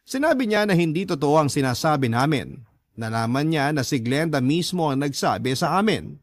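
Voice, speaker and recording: A man narrates a love story in the first person for a radio drama. His voice sits a little high for a man, and the scripted delivery is recorded dry. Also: very animated, moderate pace, no echo. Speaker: narrator reading a letter sender's love story.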